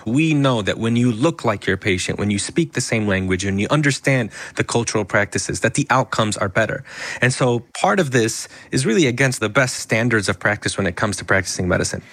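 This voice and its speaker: A man who is an emergency physician, from the United States, answering radio interview questions in an earnest, measured way. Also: lowish voice, a bit bright, no room sound.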